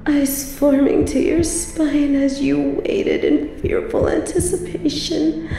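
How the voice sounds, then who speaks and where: A woman playing an evil, possessive medieval queen in a roleplay audio drama, haughty and theatrical with drawn-out, breathy asides. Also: high-pitched, level tone, mellow, reverberant, a little hiss or hum, full character voice. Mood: smitten, teasing. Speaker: voice actor in a scripted roleplay audio.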